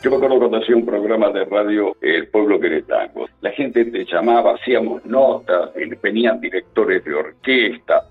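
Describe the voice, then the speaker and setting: A man's voice: on the low side, lively intonation, moderate pace, few pauses, breathy edge. An older man with a Buenos Aires accent, a radio announcer reminiscing in conversational interview style. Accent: Buenos Aires Argentine. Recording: muffled radio sound, music behind.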